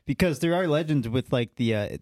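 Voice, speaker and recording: A man's voice: medium pitch, animated, a little fast, a little mellow, no room sound, clean studio sound. A man co-hosting a casual podcast, rambling with many filler words and casual swearing. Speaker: podcast co-host. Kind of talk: casual chat.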